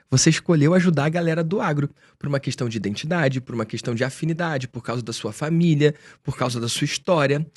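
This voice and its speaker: Husky-voiced Brazilian podcast host. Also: male voice, a little high, dry recording, studio quality. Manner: enthusiastic, slangy, friendly. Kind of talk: casual podcast interview.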